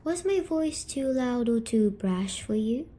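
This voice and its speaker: A woman performing scripted ASMR roleplay as a gentle, patient, eerie demon visitor, speaking softly and coaxingly and slipping into whispers. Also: a little high, animated, slight background noise. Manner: soft, slow, coaxing delivery slipping into whispers. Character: gentle, patient, eerie female sleep paralysis demon comforting a dreamer. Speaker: ASMR audio storyteller.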